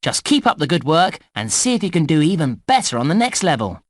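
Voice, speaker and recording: A cheerful British theme park guide for a children's game. The voice sits fairly high and swings widely in pitch, with crisp enunciation and eager encouragement. The recording is dry and close. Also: male voice, big pitch swings, a little fast, no room sound. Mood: excited, congratulatory. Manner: enthusiastic, clearly enunciated children's presenter delivery. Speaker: game narrator and tutorial guide. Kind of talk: scripted children's game narration.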